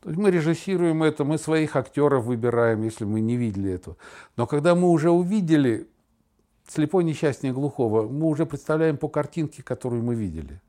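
Middle-aged male podcast host, lively swinging intonation, emphatic and stumbling over words as he muses. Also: fairly high pitch. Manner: animated, emphatic, halting with stammered repetitions. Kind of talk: casual reflective conversation with anecdotes.

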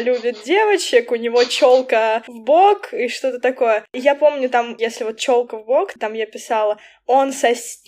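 Chatty young woman, lively storyteller. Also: on the high side. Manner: chatty, animated storytelling with quick asides. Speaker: poet and language teacher. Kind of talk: casual podcast conversation.

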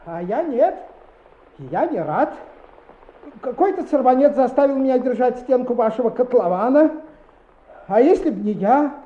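A woman's voice: fairly high pitch, animated, moderate pace, pauses often, reverberant, phone-quality sound, lightly acted. An actress playing a stuffy, curt, disapproving male school inspector in a radio play. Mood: displeased, officious. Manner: officious, curt.

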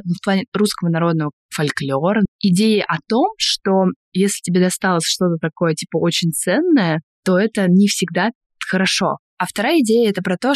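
Woman, podcast co-host, quick pace, dry close sound, conversational, talkative, explanatory. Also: on the low side, no room sound. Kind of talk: casual conversational podcast talk.

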